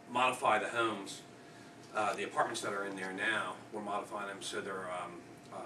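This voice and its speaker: An American man from Pennsylvania, a builder explaining a proposal informally at a public meeting. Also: lowish voice. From Pennsylvania, United States. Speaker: construction company owner presenting a proposal. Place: indoor public meeting room. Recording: distant, echoey, noisy meeting room recording.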